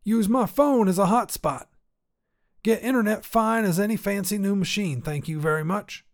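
A man's voice: high-pitched, normal speed, voice pushed up. A man voicing a cantankerous old grandfather, a folksy tinkerer who grumbles emphatically in a story reading. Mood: indignant. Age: older. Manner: folksy, grumbling, emphatic, rural turns of phrase. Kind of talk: acted character dialogue in short fiction reading.

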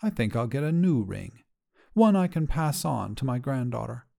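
A man plays an elderly widowed grandmother in an audio short story. The voice is mellow and soft-edged, pitched a little above his narration. She speaks earnestly and wistfully, with gentle resolve. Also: very animated, moderate pace, creaky. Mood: resolute, tender. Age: older.

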